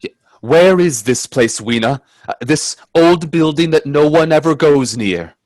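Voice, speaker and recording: An earnest, anxious gentleman adventurer in a radio play, played by a man. His voice sits a little high and sounds dry and close. He delivers his words with grave, dramatic care. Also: expressive, no echo. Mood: uneasy. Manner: earnest, hesitant in dialogue, measured in narration.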